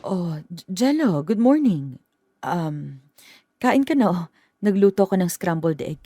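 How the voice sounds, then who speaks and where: An actress playing a worried middle-aged mother who speaks in a halting, gentle way in a radio drama. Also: lowish voice, big pitch swings, dry recording, clean studio sound, full character voice. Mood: warm.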